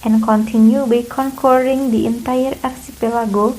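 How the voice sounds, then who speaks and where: A woman's voice: fairly high pitch, steady tone, moderate pace, a little mellow, poor audio. A woman with an Indonesian accent reading scripted narration for an amateur radio drama. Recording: music behind the voice.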